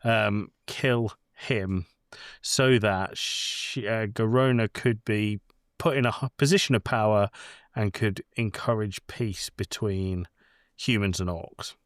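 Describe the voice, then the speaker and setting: A man with a fairly low voice chats casually about films as a podcast co-host. He rambles through explanations with informal language and some swearing, recorded dry with little room sound. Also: slow pace, no room sound. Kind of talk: casual film chat.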